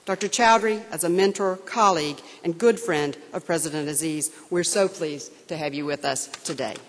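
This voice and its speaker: A woman's voice: low voice, moderate pace. A woman presenter formally and graciously introducing the next speaker at a ceremony. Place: large hall. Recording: reverberant hall.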